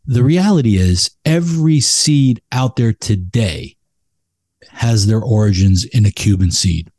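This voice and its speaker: A man's voice: fairly low pitch, animated, measured pace, a little mellow, dry recording, clean studio sound. A man speaking as an expert guest in a casual chat, emphatic and opinionated about his craft. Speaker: expert podcast guest, cigar blender.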